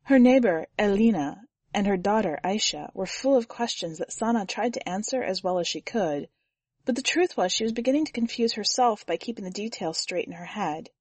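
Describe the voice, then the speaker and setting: A woman's voice: mid-range voice, expressive, fairly quick, a little mellow, no echo. Woman narrating fiction in a dry booth. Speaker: podcast editor and story reader.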